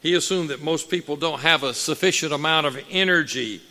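Booming preacher in a reverberant hall. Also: male voice, pushed and bright. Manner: slow, emphatic preaching that builds in force. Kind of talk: sermon.

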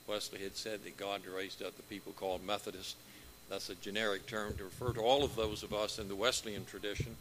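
A man preaching a sermon with a booming voice, slow and emphatic, building in force. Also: little pitch movement, a bit bright, a little hiss or hum. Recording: long hall reverb.

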